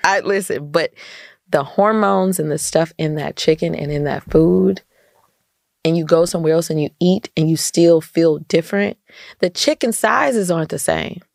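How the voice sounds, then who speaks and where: Emphatic low-pitched American woman, slightly gravelly. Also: moderate pace, darkish tone, no echo, studio quality. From United States. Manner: emphatic, repeats phrases for stress. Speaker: podcast guest, entrepreneur and community activist. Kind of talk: casual conversational interview.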